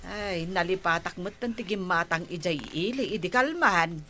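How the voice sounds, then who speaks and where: A woman's voice: fairly low pitch, normal speed, bright, forceful tone, soft background music. A woman playing a nosy, teasing village neighbour in a comedic drama, chatty and questioning, with an Ilocano accent. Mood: chatty, curious. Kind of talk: comedic radio-style drama acting.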